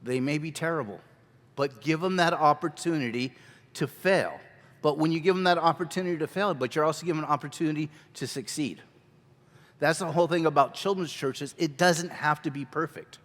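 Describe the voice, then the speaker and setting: Man, energetic workshop teacher, conversational asides, handheld mic, long echoing church hall reverb. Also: a little high, brisk. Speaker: children's ministry teacher. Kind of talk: conference workshop talk. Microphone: handheld microphone.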